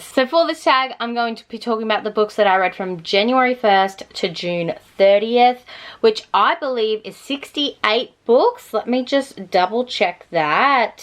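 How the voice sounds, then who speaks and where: A woman talking casually and enthusiastically to camera as a book reviewer, gushing about her favourites. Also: mid-range voice. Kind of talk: casual chatty book-tag video talk to camera.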